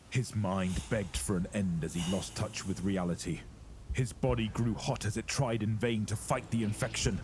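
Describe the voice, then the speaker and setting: Very deep-voiced, grave male horror narrator. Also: a little mellow, slight background noise. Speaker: narrator of horror audio drama. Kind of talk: dramatic scripted storytelling.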